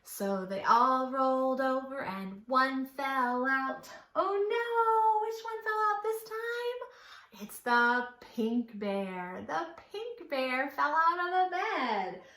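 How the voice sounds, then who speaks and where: A woman's voice: high-pitched, sing-song, slow, bright, forceful tone. A woman leading a children's storytime, talking in an exaggerated singsong way as if to small children. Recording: echoey room.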